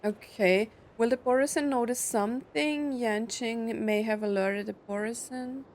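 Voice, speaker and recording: A woman's voice: a little high, fairly even intonation, measured pace, slight background noise. A woman streamer giving casual, chatty playthrough commentary, thinking aloud as she plays. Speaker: gaming streamer.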